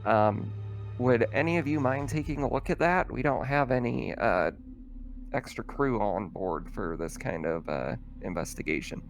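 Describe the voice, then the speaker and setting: A man voicing a polite, hesitant train crew member making a halting intercom announcement in a tabletop game. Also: mid-range voice, lively intonation, creaky, soft background music. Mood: uneasy, polite.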